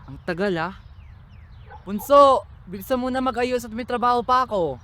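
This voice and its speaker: A man's voice: very high-pitched, moderate pace, pushed and bright. A man with a Filipino accent playing a hardworking, impatient eldest brother in a radio drama. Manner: brusque, exasperated. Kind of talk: radio drama acting, casual family talk. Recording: faint background noise.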